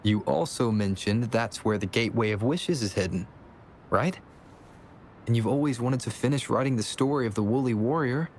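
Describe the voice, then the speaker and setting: Young male game hero, acted by a man: mid-range, calm, measured, attentive, with slight background rumble. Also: lightly acted. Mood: calm, deducing. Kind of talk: video game character dialogue.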